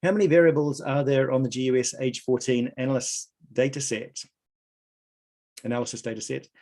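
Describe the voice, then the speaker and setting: Gravelly-voiced man hosting a quiz. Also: medium pitch, fairly even intonation, darkish tone. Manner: reads options aloud in a measured list. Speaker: quiz host at an online conference. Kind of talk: reading out quiz questions.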